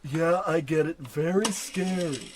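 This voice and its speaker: A man's voice: a little high, unhurried, noisy background, close to an everyday voice. An actor playing a brash, dim-witted, bossy salesman in a parody of old radio drama, blustering through muddled tough talk.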